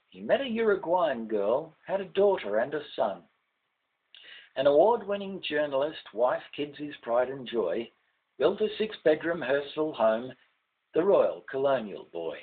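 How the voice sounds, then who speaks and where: Older Australian man, slow sing-song poetry recital, widely swinging pitch, declamatory, falling line endings. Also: slightly rough, narrow, tinny audio. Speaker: poet reading own poem. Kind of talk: poetry recitation, rhymed ballad with refrain.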